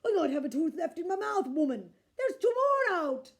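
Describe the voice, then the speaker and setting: A woman voicing a gluttonous, blustering bully of a giant man who bellows and shouts. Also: high-pitched, lively intonation, a little slow, gravelly, voice pushed up, full character voice. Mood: bellowing.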